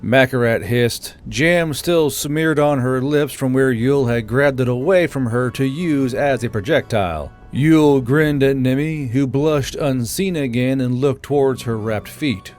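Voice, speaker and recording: A man's voice: medium pitch, unhurried, hardly any pauses, a little mellow, faint music behind. A man reading scripted fiction as an audiobook narrator.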